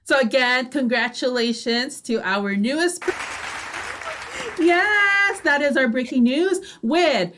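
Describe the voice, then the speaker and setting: A woman's voice: high-pitched, animated, slow, a crowd in the background, noisy background. A woman co-hosting online radio, upbeat and agreeable as she casually promotes the station. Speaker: online radio show co-host. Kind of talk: casual promotional chat between co-hosts.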